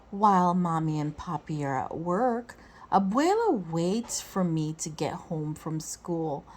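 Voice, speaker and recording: Lady doing a storybook read aloud, slow n careful, super expressive pitch, acts out the lines. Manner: deliberate phrasing, acts out dialogue.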